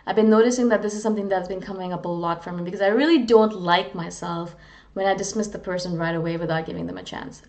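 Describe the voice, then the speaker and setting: A woman's voice: mid-range voice, quick pace, a little mellow. A woman from India, talking in a candid, earnest, self-deprecating way in a casual, reflective monologue as a vlogger. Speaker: vlogger on personality types.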